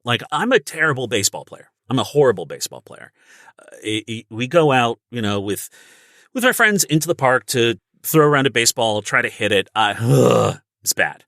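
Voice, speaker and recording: Animated man with a bright, low voice. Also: a little fast, creaky, dry recording, clean studio sound. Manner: emphatic, explains through everyday analogies. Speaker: guest voice actor and audiobook narrator. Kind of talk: casual instructional podcast conversation.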